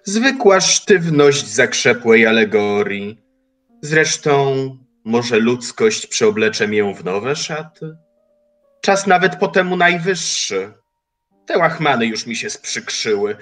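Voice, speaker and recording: Man doing ancient personified time, mocking, lofty, slow, archaic, swinging pitch, faint music under. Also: animated, fairly bright tone. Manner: slow, measured, archaic speech. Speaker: voice actor. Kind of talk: audio drama acting.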